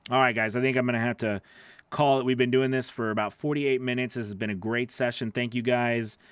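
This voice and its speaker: A man's voice: mid-range voice, steady tone, a little fast, clean tone, no room sound. Nasal-voiced man on a dry studio mic. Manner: chatty, repeats words, reads viewer questions aloud. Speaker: voice actor hosting a live Q&A. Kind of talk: casual livestream Q&A. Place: small home studio, indoors. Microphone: large studio microphone on boom arm.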